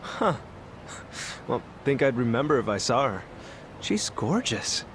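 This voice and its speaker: A man's voice: medium pitch, big pitch swings, a little slow, a bit bright. An actor playing a friendly, casual, talkative man on the street in an English-dubbed video game. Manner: casual, helpful. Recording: faint outdoor city noise behind.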